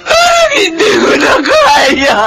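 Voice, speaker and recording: Anguished, high-voiced tearful grandmother. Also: huge pitch swings, takes it slowly, shouting, over loud music, full character voice. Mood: wailing despair. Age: middle-aged. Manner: tearful, wailing. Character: anguished grandmother, despairing mother of grown children. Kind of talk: melodramatic radio drama acting.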